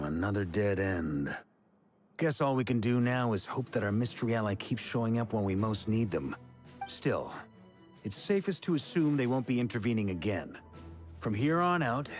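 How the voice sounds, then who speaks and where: A man playing a seasoned, easygoing middle-aged knight commander in a video game, speaking in a measured, reassuring, thoughtful way. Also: lowish voice, natural voice. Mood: pondering, resolute.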